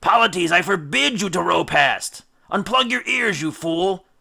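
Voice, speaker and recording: Man acting a frantic, shouting Greek sea captain. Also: high-pitched, lively intonation, a little slow, creaky, pitched above the performer's own voice, full character voice. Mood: furious. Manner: shouting, imperious, desperate.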